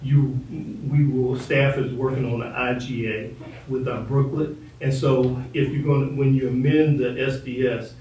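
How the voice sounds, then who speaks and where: A man speaking as a city official in a formal public meeting, diplomatic and measured, in a council chamber. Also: medium pitch, flat delivery, warm tone. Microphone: distant room microphone. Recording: echoey meeting room, distant mic, faint noise.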